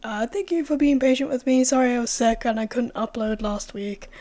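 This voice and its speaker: A young woman vlogger talking casually and chattily, hoarse and losing her voice after a cold, full of fillers and the odd swear. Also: a little high, steady tone, brisk, mellow. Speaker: true-crime vlogger. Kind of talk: casual opinion vlog.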